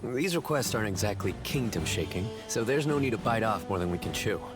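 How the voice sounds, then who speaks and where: An actor playing a prince's loyal, level-headed companion in a video game, calm, practical and protective. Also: male voice, on the low side, fairly quick, close to an everyday voice. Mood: easygoing. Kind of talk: video game cutscene acting. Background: music.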